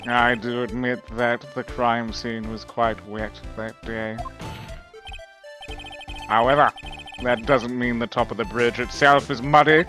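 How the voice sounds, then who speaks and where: A cool, smug male prosecutor played by a fan dubber in a courtroom game. He speaks slowly and deliberately, looking down on his rival, with music behind him. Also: medium pitch, steady tone, natural voice.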